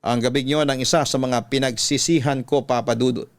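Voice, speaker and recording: A Filipino-accented man narrates like a classic radio storyteller. His medium-pitched voice is earnest and measured, recorded very dry. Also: fairly even intonation, no room sound. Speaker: storytelling show host. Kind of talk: scripted first-person letter narration, classic radio storytelling.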